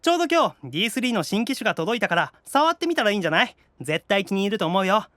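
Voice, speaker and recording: A man voicing a nervous, excitable young demon otaku in an anime-style audio drama, flustered and eager. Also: high voice, rapid-fire, no room sound, clean studio sound, exaggerated voice.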